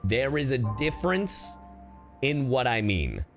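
A man's voice: medium pitch, big pitch swings, unhurried. A man giving casual livestream commentary on a game, playful, irreverent and sweary, with music behind him. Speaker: game streamer.

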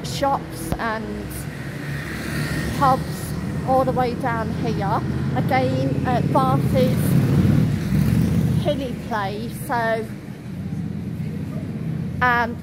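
A woman in her thirties films herself on a phone at arm's length as she strolls through town, pausing often on ums and uhs. Her voice sits a little high and she takes her time. Passing traffic and street noise are clearly heard behind her. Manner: halting, full of ums and uhs, pointing things out. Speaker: travel vlogger. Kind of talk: walk-and-talk vlog narration. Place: outdoors on city streets. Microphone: phone camera held at arm's length. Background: traffic and street ambience.

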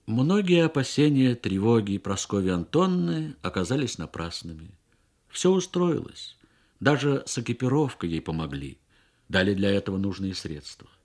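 A man reads a literary short story for radio in measured, expressive phrases with frequent pauses. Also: on the low side. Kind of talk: literary narration, Soviet radio story reading.

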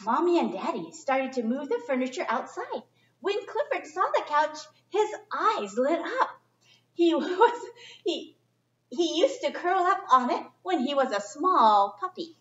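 A middle-aged woman doing a chatty, expressive children's read-aloud with informal asides, speaking in simple phrases for young listeners. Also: high-pitched, a little slow. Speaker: storyteller reading a picture book to children. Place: indoor library children's area. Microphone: distant camera microphone. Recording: echoey room, distant muffled camera sound.

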